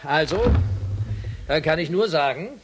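An actor playing an excitable, authoritative space shuttle commander, speaking emphatically and officially in a science fiction radio play. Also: male voice, on the high side, a little slow, noisy background. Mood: official, upbeat.

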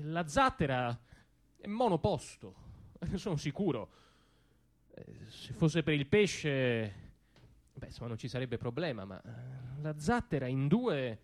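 A man performing a dramatic comic stage monologue as an anxious, conflicted dreamer, halting and repeating himself in agitation. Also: high voice, fairly bright tone, full character voice. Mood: muttering dismay. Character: anxious, conflicted dreamer, a man torn between conscience and panic.

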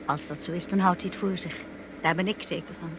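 An actress playing a devoted, wistful young wife in a radio drama, speaking in a measured and solemn way. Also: female voice, on the low side, steady tone, noisy background, natural voice. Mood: earnest. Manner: slow, measured, solemn delivery. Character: Mrs de Winter, devoted young wife looking back wistfully. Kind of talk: radio drama acting and narration.

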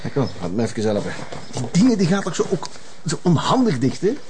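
An actor playing a sardonic, menacing avenger with a husky Flemish voice and a mocking, taunting manner. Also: male voice, fairly high pitch, wildly swooping pitch, brisk, a little mellow, a little hiss or hum. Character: menacing, sardonic male avenger holding a gunman's upper hand. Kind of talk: Flemish television crime drama acting.

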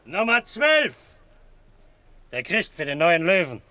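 An actor playing a loud, excitable Roman arena caller in a German radio play, shouting out announcements. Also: male voice, sits high, slow, dry recording, heavily acted. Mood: announcing.

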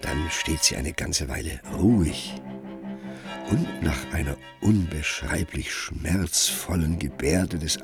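Deep, slow male radio play narrator. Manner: measured, expressive storytelling.

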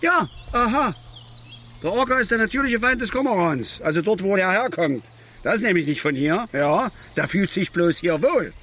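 A man's voice: sits high, animated, moderate pace, pushed and bright, heavily acted. An actor playing a grumbling, opinionated middle-aged angler and father in a radio drama, lecturing and blustering with questioning tags. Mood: irritated. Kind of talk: naturalistic radio drama dialogue. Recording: faint outdoor background noise.

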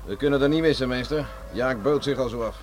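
An actor playing a gruff, stubborn village beachcomber in a Dutch radio play, grumbling and plain-spoken. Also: male voice, mid-range voice, quick pace, darkish tone, natural voice. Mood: defensive, irritated. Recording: noisy old radio play recording.

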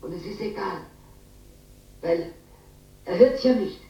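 A woman's voice: medium pitch, slow pace, vocal fry, far from the mic. An actress playing a lonely, coaxing older mother in a radio play. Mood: wistful. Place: large echoing empty hall. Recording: muffled, very echoey, noisy radio recording.

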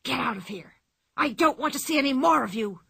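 A woman reading a storybook aloud, voicing a loud, showy male circus ringmaster who stretches his words as he announces. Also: lively intonation, creaky, husky, dry recording, studio quality, exaggerated voice. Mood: angry. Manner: booming, drawn-out showman announcing.

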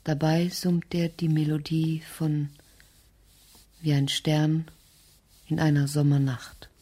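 A woman narrating a radio drama in a terse, detached, husky delivery, as if reading out stage directions. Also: low voice, little pitch movement, takes it slowly, dark tone. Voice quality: husky, breathy.

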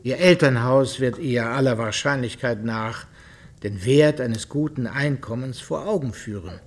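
Formal, deliberate older gentleman. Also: mid-range voice. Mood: measured, self-assured. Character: stern, dignified older gentleman, measured family patriarch. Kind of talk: German radio drama acting.

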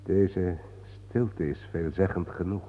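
An actor playing a grave, world-weary man guiding his friend through war cemeteries in a solemn, measured, sardonic radio-play manner. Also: low voice, fairly even intonation, dark tone. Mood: somber. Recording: muffled phone-like old radio recording, reverberant.

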